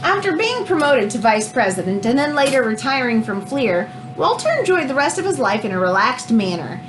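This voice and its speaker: Animated woman reading aloud to children, high-pitched. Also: brisk, background noise. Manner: animated, explanatory, teacherly. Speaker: teacher reading a picture book aloud. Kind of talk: expressive children's read-aloud with asides.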